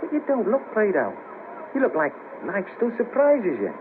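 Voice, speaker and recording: A man's voice: high voice, wildly swooping pitch, moderate pace, narrow, tinny audio. An actor playing a world-weary, scheming ex band manager, down on his luck, in a colloquial 1970s radio drama. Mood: weary, reminiscing. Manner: wry, persuasive, colloquial. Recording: patchy noisy cassette of 1970s radio broadcast.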